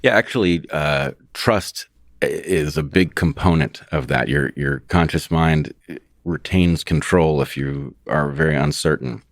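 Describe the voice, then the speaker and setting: Very deep-voiced man, thoughtful guest. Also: unhurried, no echo, studio quality. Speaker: podcast guest. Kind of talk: casual long-form conversation.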